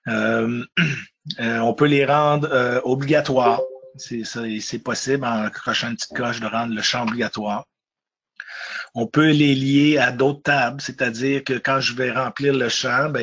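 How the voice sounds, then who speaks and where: A man with a Quebec French accent, stuffy from a cold, presenting a software webinar in a hesitant, casual way with many fillers and restarts. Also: medium pitch, breathy edge, highs cut off. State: congested with a cold.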